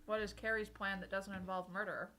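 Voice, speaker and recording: A woman's voice: mid-range voice, little pitch movement, a little fast, sharp tone. A woman chatting casually as a player in a tabletop role-playing game. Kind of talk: casual banter.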